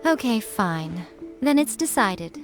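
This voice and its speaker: A woman's voice: mid-range voice, sing-song, slow pace, over loud music. A woman playing a sly, imperious shrine priestess in an English-dubbed anime video game, teasing and haughty in a languid way. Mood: breezy authority.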